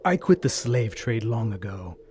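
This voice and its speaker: A man playing a coldly courteous, gloating bandit chief in an audio drama, with florid, formal and measured speech. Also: lowish voice, very animated, background noise. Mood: proud, assured. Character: gloating bandit chief, scarred former slave trader, coldly courteous villain.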